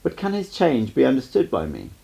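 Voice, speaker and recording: A man's voice: mid-range voice, wildly swooping pitch, fairly quick, gravelly, dark tone, pitched above the performer's own voice, full character voice. A man voicing an anxious, earnest apprentice who asks probing questions in an audiobook. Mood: puzzled, probing. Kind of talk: amateur audiobook character reading.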